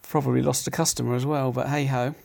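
A middle-aged man with a croaky throat, hosting a casual chat show, rambling with many fillers and restarts. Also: medium pitch, flat delivery, fast talker, a little mellow.

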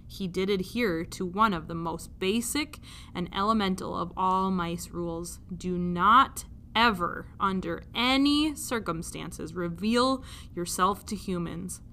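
A woman reading a children's story aloud like a teacher, with expressive narration. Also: medium pitch, unhurried, a little hiss or hum.

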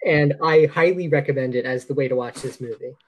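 A man's voice: medium pitch, fairly quick, low-quality recording. A man co-hosting a casual film discussion, throwing in joking, mock-indignant asides. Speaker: podcast co-host.

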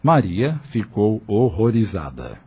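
A man narrating a radio drama in a measured, steady way. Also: lowish voice, breathy edge. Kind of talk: scripted narration.

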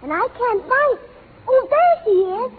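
Through a tinny vintage radio broadcast, a little farm girl. Her voice is extremely high and childlike, with a flustered air. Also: very animated, measured pace, heavily acted. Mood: flustered, relieved. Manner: childlike, calling out. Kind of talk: 1930s radio drama acting.

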